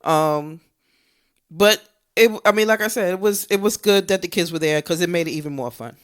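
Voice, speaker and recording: A woman's voice: fairly low pitch, normal speed. A woman co-hosting a casual movie review, talking conversationally and repeating her points for emphasis. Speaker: co-host of a movie review show.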